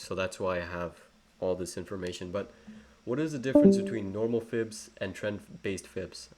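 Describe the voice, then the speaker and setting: A young man with an English accent, rambling through a live trading tutorial with many fillers and self-corrections. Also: deep voice. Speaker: trading educator. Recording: faint background noise.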